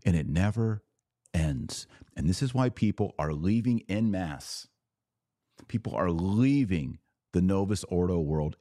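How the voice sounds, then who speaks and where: A man's voice: low-pitched, mellow, no echo, clean studio sound. A man hosting a podcast. He reads out viewer comments, riffs on them with sarcasm, and turns preachy when he makes his point. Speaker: podcast host.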